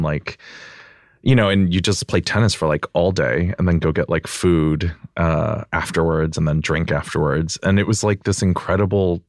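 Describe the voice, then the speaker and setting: A man's voice: sits low, dry recording, studio quality. An American man chatting as a podcast guest, reflective and casual, with lots of "like" and "you know" as he thinks aloud. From United States. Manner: reflective, filler-heavy with frequent "like" and "you know". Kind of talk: casual conversational interview.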